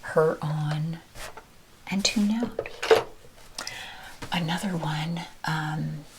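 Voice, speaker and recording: A woman whispering a rambling ASMR chat while ironing, her voice with a deeper timbre. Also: steady tone, drawn-out words, very noisy. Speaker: ASMR creator. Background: ironing noises.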